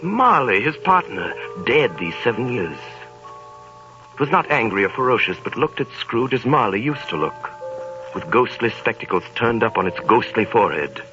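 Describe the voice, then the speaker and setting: A man narrating a 1930s radio drama in a measured storytelling manner. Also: mid-range voice, big pitch swings. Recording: dull 1930s radio broadcast with music.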